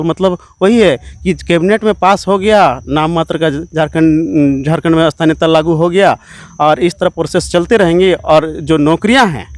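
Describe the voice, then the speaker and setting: A man's voice: on the high side, no room sound. A man giving earnest, emphatic, repetitive political answers in an interview as an activist.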